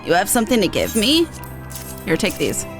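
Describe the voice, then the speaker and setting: A woman voicing a kindly older village mother, a widow in a fantasy game, speaking in a gentle, folksy and fond manner. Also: medium pitch, wildly swooping pitch, normal speed, over music. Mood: fond, grateful. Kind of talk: acted reading of fantasy game dialogue.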